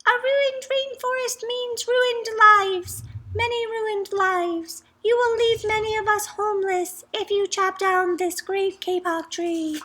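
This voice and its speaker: A woman voicing a small tree frog with a squeaky, piping voice in a storybook read-aloud. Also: steady tone, slow pace, pushed and bright, exaggerated voice, low-quality recording. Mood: pleading. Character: small male tree frog, tiny and anxious.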